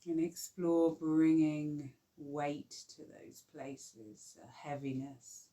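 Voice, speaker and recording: A woman guiding a yoga nidra relaxation, speaking softly and soothingly with long pauses. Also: sits low, steady tone. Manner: slow, soft, soothing guidance with long pauses. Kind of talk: guided relaxation meditation.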